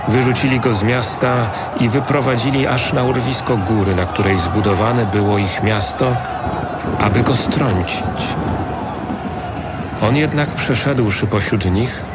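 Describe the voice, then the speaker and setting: A man narrating scripture as a scripted audio drama, solemn and deliberate in his delivery. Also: mid-range voice, very noisy. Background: music.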